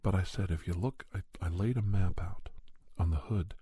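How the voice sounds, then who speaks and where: A soft-spoken man telling a first-person story in an ASMR style, often dropping toward a whisper. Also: low-pitched, normal speed, slightly rough, dry recording. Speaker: storyteller and podcast host.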